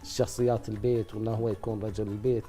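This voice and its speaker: A middle-aged man, an actor being interviewed, speaking reflectively at length with pauses. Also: lowish voice, flat delivery, warm tone. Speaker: actor guest. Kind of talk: casual interview talk.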